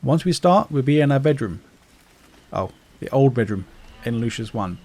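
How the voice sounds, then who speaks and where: A man's voice: mid-range voice, very animated, moderate pace, a little mellow. A man giving casual, informal gameplay commentary, explaining things step by step in plain words. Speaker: video game walkthrough narrator. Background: game music.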